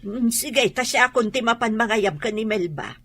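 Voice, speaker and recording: A woman's voice: fairly high pitch, expressive, normal speed, a bit bright, husky, exaggerated voice. An actress with an Ilocano accent playing a practical, scolding older mother in a radio drama. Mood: attentive, explaining.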